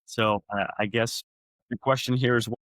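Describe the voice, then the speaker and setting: A man's voice: medium pitch, steady tone, moderate pace, fairly bright tone, no echo. A man from Europe hosting a podcast, asking curious questions in a chatty way. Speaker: podcast host. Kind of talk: casual interview talk.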